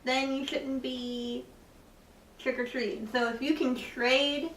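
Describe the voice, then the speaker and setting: A woman's voice: high-pitched, steady tone, takes it slowly, fairly bright tone, echoey room, distant mic, low-quality recording. A woman in casual podcast chat, enthusiastic and giggly. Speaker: podcast guest.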